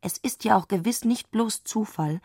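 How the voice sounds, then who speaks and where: A woman reading scripted literary narration for a radio play with measured, precise diction. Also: medium pitch, darkish tone, husky, dry recording, studio quality.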